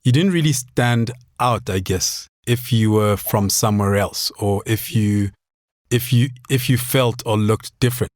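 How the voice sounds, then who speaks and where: Thoughtful man with a low dry voice. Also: a little slow, no room sound. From Southern Africa. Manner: thoughtful, hesitant, many restarts. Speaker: podcast host. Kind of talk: reflective interview questions.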